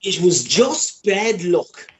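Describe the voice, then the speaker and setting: A woman with a husky voice, narrating a scripted amateur radio play. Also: lowish voice, big pitch swings, takes it slowly, a little hiss or hum. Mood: storytelling.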